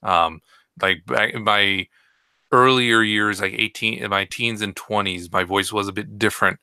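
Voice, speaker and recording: Bright-toned man rambling in casual chat. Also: lowish voice, steady tone, normal speed, clear, resonant tone, no room sound, studio quality. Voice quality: trained voice, speaks from the throat. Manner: rambling, hesitant, many fillers.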